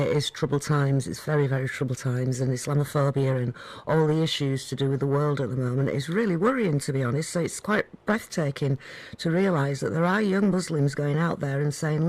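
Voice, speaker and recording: A man hosting a conversational radio interview in a studio, putting questions and comments in an affirming, measured way. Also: on the high side, a little mellow, no echo.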